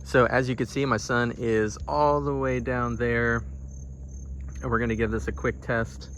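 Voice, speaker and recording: A man's voice: medium pitch, flat delivery, unhurried, a little hiss or hum. A man, sounding middle-aged, gives a casual product demonstration in a plain-spoken, explanatory way. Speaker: product reviewer.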